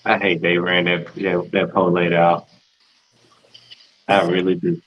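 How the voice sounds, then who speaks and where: A man's voice: deep voice, level tone, a little slow, a little hiss or hum. An American man hosting a casual panel discussion and putting questions to the others. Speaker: host posing questions. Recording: slightly dull, lo-fi sound.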